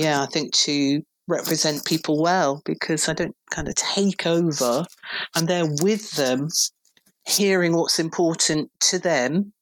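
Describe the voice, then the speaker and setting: A woman in her fifties from England with a very deep voice, a PR director talking thoughtfully as a podcast guest. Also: low-quality recording. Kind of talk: relaxed conversational interview.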